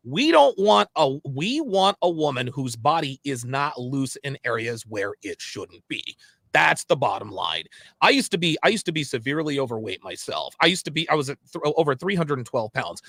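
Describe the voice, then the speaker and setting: An animated man hosts a livestream talk show in a fairly high voice that swings widely in pitch. He is opinionated and emphatic, circling back on phrases to drive them home as he puts things to his guest. The sound is close and dry. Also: gravelly, no echo. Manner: emphatic, repeats phrases for stress. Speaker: host of a livestream interview show.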